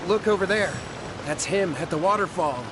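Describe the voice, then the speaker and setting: A man's voice: high-pitched, lively intonation, normal speed, noisy background. An actor playing a nervous young man in a game, stammering and evasive. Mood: urgent. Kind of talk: game voice acting.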